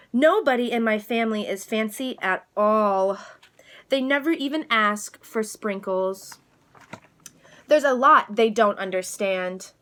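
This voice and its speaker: A woman reading a children's storybook as an excitable, precocious little girl who adores being fancy, with a dramatic manner. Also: mid-range voice, natural voice. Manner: dramatic, precocious, proudly explaining fancy words. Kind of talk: children's storybook read-aloud.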